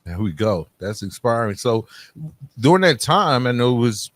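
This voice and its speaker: Low-voiced man hosting a podcast. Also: very animated, moderate pace, no echo. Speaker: podcast host. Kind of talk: podcast introduction and interview.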